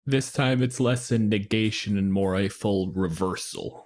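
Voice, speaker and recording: A man's voice: lowish voice, measured pace, a little mellow. A man co-hosting a casual podcast, asking inquisitive, analytical questions.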